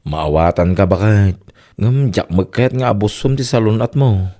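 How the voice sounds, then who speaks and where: Mellow, concerned older husband played by an actor. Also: male voice, fairly low pitch, normal speed, lightly acted. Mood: worried. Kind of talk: radio comedy-drama acting.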